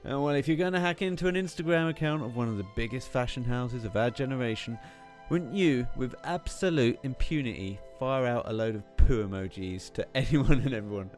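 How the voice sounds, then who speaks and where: A man's voice: mid-range voice, fairly quick, hardly any pauses, a little mellow, faint music behind. A man hosting a podcast in a chatty, tongue-in-cheek way as he presents and interviews.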